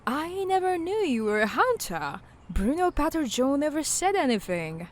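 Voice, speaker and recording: Woman acting a lively-pitched, guarded young huntress. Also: moderate pace. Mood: questioning. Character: guarded, questioning young woman monster hunter. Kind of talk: audio drama acting.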